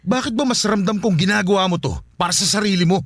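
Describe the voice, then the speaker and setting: A man's voice: sits high, quick pace, music in the background. A man acting a blunt, defensive young father leaving his partner in a Filipino radio drama. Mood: exasperated.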